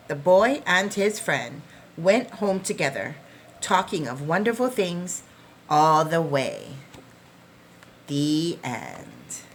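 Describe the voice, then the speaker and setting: Woman reading storytime, lively low-pitched swings. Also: slight background noise. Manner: deliberate, dramatic phrasing for young children. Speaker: preschool teacher reading a story aloud. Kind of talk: expressive children's picture-book storytime reading.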